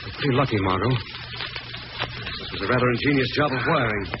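An actor playing a calm, resolute gentleman detective in a 1940s style radio drama. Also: mid-range voice, moderate pace, lightly acted. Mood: composed. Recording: muffled, noisy old radio recording.

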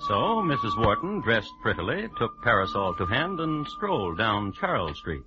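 An actor playing a dry, droll crime-story host, narrating in a deadpan, measured old-time radio style on a muffled recording. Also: male voice, on the low side, over loud music. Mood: wry.

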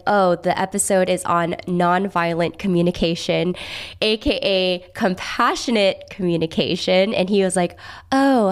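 A woman's voice: medium pitch, clean tone. A young woman co-hosting a podcast, congested and a little nasal, chatting casually and laughing easily. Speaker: podcast co-host. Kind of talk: casual chat.

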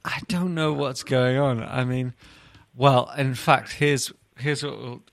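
A man's voice: mid-range voice, steady tone, takes it slowly, gravelly, no room sound. A man hosting a podcast in casual comic banter, teasing his co-hosts with mock bafflement. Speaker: podcast host.

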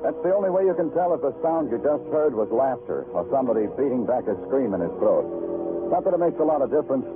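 Actor as a hard-boiled American detective, swooping delivery. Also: male voice, medium pitch, big pitch swings, fast, music in the background, close to an everyday voice. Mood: brooding. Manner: clipped, sardonic, hard-boiled. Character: world-weary, terse big-city police detective. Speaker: lead actor and narrator. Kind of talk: 1950s radio crime drama acting. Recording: muffled vintage radio broadcast recording.

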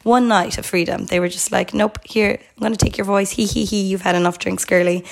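A young woman co-hosting a podcast, her voice hoarse, chatting upbeat and casual. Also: mid-range voice, steady tone, brisk. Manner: chatty, upbeat, lots of "like" and "absolutely". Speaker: podcast co-host. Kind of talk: casual chat.

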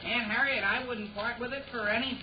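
A man's voice: extremely high pitch, steady tone, fast. A man acting an eager, wheedling teenage boy in a 1940s radio sitcom. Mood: earnest, cheerful. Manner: earnest, wheedling. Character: eager, scheming, excuse-making teenage boy, Henry. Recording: muffled, noisy 1940 radio recording.

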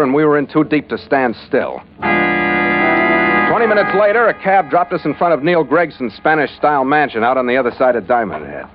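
Hardboiled adventurer, male actor, American, mid-pitched, wry and terse, vintage radio narration, muffled, scored with music. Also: natural voice. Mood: grim, wry. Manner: terse, sardonic. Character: hardboiled, wisecracking American adventurer narrating his own case. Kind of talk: 1940s radio detective acting, first-person narration. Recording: muffled old radio recording with music underneath.

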